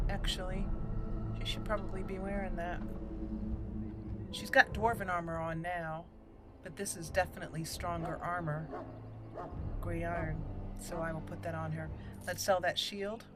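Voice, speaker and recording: A woman hosting her gaming channel, chatting casually and thinking aloud as she plays. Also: lowish voice. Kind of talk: casual let's-play commentary. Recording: voice-over over loud game music. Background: game music.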